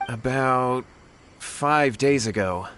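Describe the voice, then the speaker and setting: A man's voice: mid-range voice, slow, fairly bright tone, slight background noise. A man playing an earnest, anxious young man in a video game, pleading and deferential. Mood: worried. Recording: music playing under the voice.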